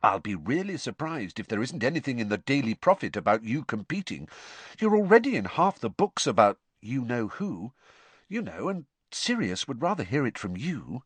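A man's voice: mid-range voice, very animated, moderate pace, slightly rough, no echo, voice pushed up. A man reading an audiobook, voicing an earnest, worried teenage girl who is bossy and sensible. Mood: serious.

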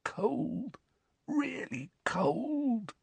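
A man voicing a spoiled, frightened teenage boy in an audiobook, mumbling hoarsely and haltingly. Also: extremely high pitch, sing-song, no room sound, pitched above the performer's own voice, exaggerated voice. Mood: terrified, croaking. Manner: halting, stammering, trembling. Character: spoiled teenage boy, shaken, whimpering and slow-witted.

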